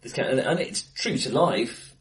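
A male podcast co-host talks films in a casual, conversational way, with a medium-pitched voice. He slips into quoted asides as he makes his points. The room around him sounds bright and reflective. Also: lively intonation, normal speed. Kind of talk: casual film discussion. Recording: acoustically bright, echoey room.

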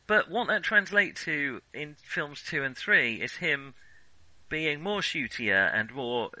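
A man co-hosting a casual film discussion, talking in a halting, animated way and often starting sentences over. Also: a little high, no echo, poor audio. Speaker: podcast co-host.

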